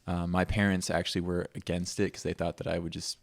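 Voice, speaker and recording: Deep-voiced young man, relaxed and thoughtful. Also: quick pace, vocal fry, no room sound. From Minnesota, USA. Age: 20s. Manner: relaxed, thoughtful, trailing fillers. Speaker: pianist guest. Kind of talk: casual conversational interview.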